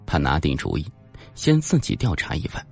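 A man narrating a suspense novel as an audiobook, with a dramatic, deliberate storytelling delivery. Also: extremely low pitch, wildly swooping pitch, creaky, a little mellow, slightly rough, soft background music.

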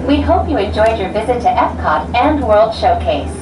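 A woman's voice: fairly high pitch, sing-song, moderate pace. Lilting woman on a distant echoey speaker. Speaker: transit announcer. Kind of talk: prerecorded public announcement. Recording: echoey distant loudspeaker with noise.

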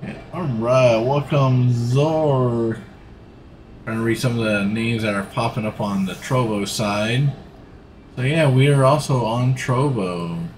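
A man's voice: mid-range voice, takes it slowly, a little hiss or hum. A middle-aged man, chatting casually and rambling while he plays a farming game on a livestream from his home setup. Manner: easygoing, rambling, talks while playing. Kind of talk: casual gaming livestream commentary. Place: home room, seated in a gaming seat.

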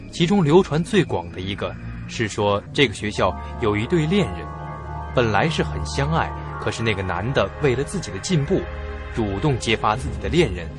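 A man narrating a suspense radio drama in scripted storytelling style. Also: lowish voice.

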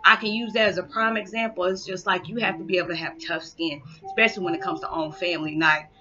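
A woman's voice: fairly low pitch, fast. A middle-aged woman sharing a candid, emphatic personal story in a vlog, talking to a webcam in a small living room. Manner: candid, emphatic, animated hand gestures. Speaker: vlogger. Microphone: webcam built-in mic. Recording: dull lo-fi webcam audio.

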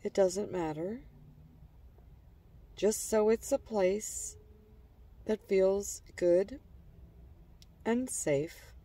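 A woman narrating a guided imagery relaxation in a calm, gentle, soothing manner, letting phrase endings trail softly. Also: medium pitch, extremely slow, long pauses, recorded from a distance.

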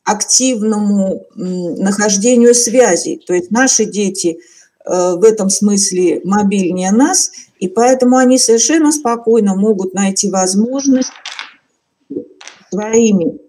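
Female webinar lecturer, formal and halting, unhurried pace, mellow timbre, speaking on a video call. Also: medium pitch. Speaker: teacher trainer giving a webinar. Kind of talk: online lecture to teachers. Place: indoor room, on a video call.

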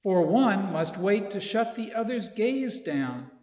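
An older man reading a poem aloud in a church chapel, slow and measured, from a sheet in his hands. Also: sits high, lively intonation, dark tone. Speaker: poetry reader. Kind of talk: poetry reading. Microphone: far camera, stand mic in front. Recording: echoey church with long reverb.

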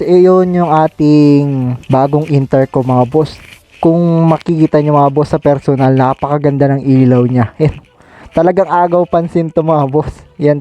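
A man chatting casually to viewers in a halting, informal product review. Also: fairly high pitch, warm tone, no room sound. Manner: informal, halting, repeats catchphrases to address viewers. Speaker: vlogger reviewing gear.

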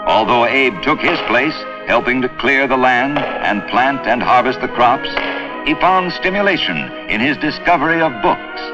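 A man's voice: mid-range voice, lively intonation, moderate pace. A man narrating a scripted 1950s educational film. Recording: muffled old 16mm film soundtrack. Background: music.

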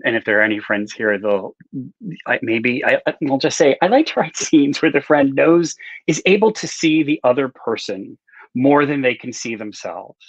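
A man's voice: mid-range voice, no echo. Middle-aged man, dull call audio. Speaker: novelist, interview guest. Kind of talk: casual conversational storytelling. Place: home room with bookshelves, indoors. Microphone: video call, no visible microphone but earbuds.

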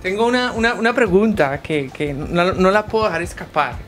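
A young man hosting an interview in a living room, asking slow questions full of restarts. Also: high-pitched, expressive, a bit bright, faint music behind. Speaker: host.

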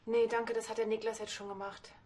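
A woman's voice: fairly high pitch, flat delivery, a little fast, mellow, lightly acted. An actress playing a kindly but firm policewoman in a TV drama, casual and sympathetic.

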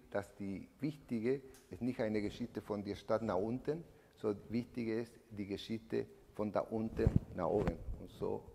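A man in his sixties from Chile with a Chilean Spanish accent, giving a personal testimony to an audience, searching haltingly for words in a language not his native Spanish. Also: fairly low pitch, creaky, warm tone. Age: 60s. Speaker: eyewitness speaker giving a testimony. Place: indoor event room, standing at a lectern. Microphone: microphone on a stand. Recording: reverberant hall.

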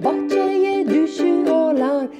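A woman's voice: very high-pitched, slow pace, over loud music. A woman in her thirties singing a simple children's folk song, accompanied by ukulele. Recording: ukulele playing along.

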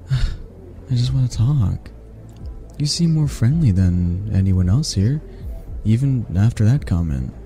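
Guy playing a teasing half-dragon college dude, flirty asmr roleplay, low voice n kinda gravelly. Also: expressive, warm tone, soft background music. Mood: friendly, flirty. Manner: teasing, sardonic, unhurried. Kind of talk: intimate ASMR roleplay acting.

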